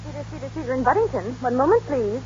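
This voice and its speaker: A woman's voice: sits high, big pitch swings, quick pace. A woman acting in an old-time radio drama. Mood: brisk. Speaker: radio actress. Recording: dull, noisy old radio broadcast.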